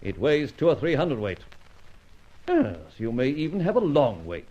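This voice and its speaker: Commanding, mocking police inspector, very lively delivery, vintage radio detective serial, muffled recording. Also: male voice, a little high, moderate pace. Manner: barking orders, sarcastic, theatrical. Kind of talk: old radio detective drama acting. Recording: dull lo-fi old radio recording.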